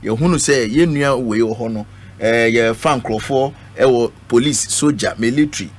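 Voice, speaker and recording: A man's voice: mid-range voice, normal speed. A middle-aged man with a Ghanaian accent speaking emphatically as a guest in a radio panel discussion, repeating his phrases. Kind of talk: political panel discussion, code-switching. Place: indoor radio studio. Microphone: studio microphone on a stand. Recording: noisy live radio studio stream.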